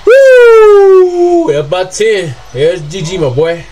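A young man with a deep voice reacting casually to basketball highlights, hollering and trash-talking in slang from a small room on a desk mic. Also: takes it slowly, a little hiss or hum. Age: young adult. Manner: excitable, yelps and hollers, slangy trash talk. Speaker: sports reaction streamer. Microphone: webcam and desk mic.

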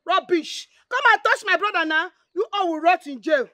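A woman's voice: very high-pitched, normal speed, sharp tone, no echo, studio quality. An actress with a Nigerian accent playing a fierce, scornful woman who scolds and hurls insults. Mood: scornful, angry. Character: scornful, fierce woman defending her brother. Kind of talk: Nollywood film acting.

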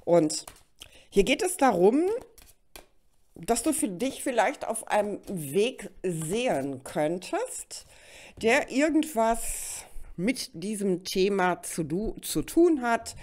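A woman's voice: mid-range voice, sing-song, slow pace, darkish tone. A middle-aged woman with a slight cold, chatting directly to the listener as she hosts an informal tarot reading. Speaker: tarot reader and host. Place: indoors at a card table.

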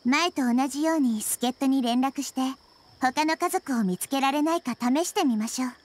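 A woman voicing a small, gentle, wise girl deity in an anime game, speaking with composed consideration. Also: sits high, moderate pace, lightly acted. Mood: gentle, reassuring. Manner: composed, considerate, feminine phrasing. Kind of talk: anime game dialogue, Japanese dub.

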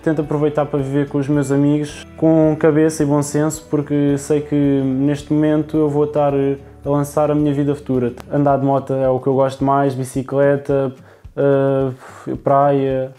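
An eighteen-year-old man with a European Portuguese accent, speaking in an earnest, measured way. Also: medium pitch, monotone, warm tone, faint music behind. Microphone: lapel mic.

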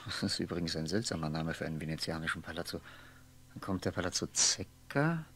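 A man's voice: deep voice, lively intonation, a little fast, a bit bright. An actor playing a lonely, brooding man who muses and repeats himself absently in a 1960s radio play. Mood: musing. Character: lonely brooding man lost in his memories. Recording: faintly humming, noisy 1960s radio recording.

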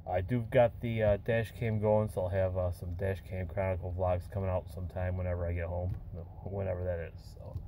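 A man's voice: deep voice, slight background noise. A tired American man in his forties, a truck driver, chatting casually and rambling from inside his truck cab into a phone camera. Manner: rambling, relaxed, many filler pauses. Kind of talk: casual vlog chat. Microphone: phone or dash camera at arm's length.

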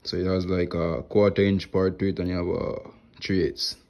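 A man who works as an HVAC technician, showing his tools in a relaxed home vlog and filming his hands with a phone. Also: low voice, moderate pace, lo-fi sound. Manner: relaxed, plain talk with many "like" and "right here". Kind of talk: casual show-and-tell vlog. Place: indoors at home, wooden floor. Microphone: phone camera held close, filming his hands.

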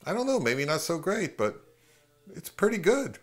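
A man's voice: fairly high pitch, big pitch swings, moderate pace. A man, giving a conversational product review as an audio gear reviewer.

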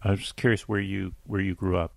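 A male podcast host asks loose, meandering questions full of restarts. His voice sits very deep, with a gravelly rasp. The recording is dry, with no room sound. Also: level tone, quick pace, dry recording. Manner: rambling questions with many fillers and restarts. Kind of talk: casual interview hosting.

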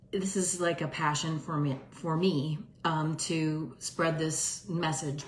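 A woman's voice: on the low side, slight background noise. A middle-aged woman, a holistic health coach, chatting casually to her phone camera on a livestream from home, with many ums and restarts. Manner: conversational, frequent ums and restarts. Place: home room indoors. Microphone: phone camera at arm's length. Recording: roomy echo.

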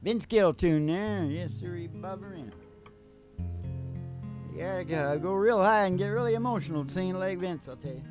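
A man's voice: high voice, moderate pace. An older man chatting casually as a podcast host, rambling in a folksy, motivational way. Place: small curtained stage, indoors. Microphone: stand microphone. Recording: live mic with acoustic guitar. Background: acoustic guitar.

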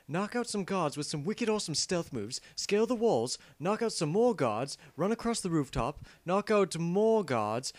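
A man's voice: a little high, no echo. A man voicing a cheery, scheming male thief in an amateur parody game dub, with blithe, matter-of-fact delivery.

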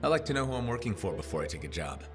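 A wary freelance fighter in a game cutscene. His voice is on the low side, casual and dry, with faint music underneath. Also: big pitch swings, very fast. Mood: skeptical. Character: guarded, skeptical male freelance mercenary for hire. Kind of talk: video game cutscene acting.

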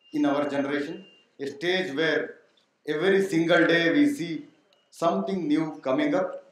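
A middle-aged man with a fairly high voice moderates a panel, quizzing the audience directly. He speaks into a handheld mic in a large auditorium that rings with heavy echo. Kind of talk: panel discussion. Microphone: handheld microphone. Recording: very echoey hall.

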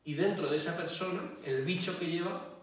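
A man in his forties from Barcelona with a Spain accent, explaining faith in a measured way as a priest being interviewed. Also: fairly high pitch. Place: indoor hall with wooden bench. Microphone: small mic held out at arm's length by interviewer. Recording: very echoey room, distant, faint noise.

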